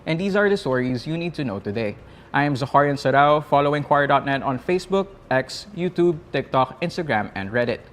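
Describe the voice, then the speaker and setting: A man's voice: mid-range voice, expressive, fairly quick. Male news presenter, Filipino accent, formal scripted delivery, mid-range voice. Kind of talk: scripted news roundup narration.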